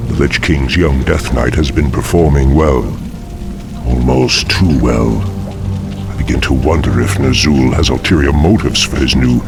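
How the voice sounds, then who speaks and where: A man voicing a suspicious, sinister demon lord with a gravelly, measured delivery in a video game cutscene. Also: rumbling low, over music, full character voice. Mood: brooding.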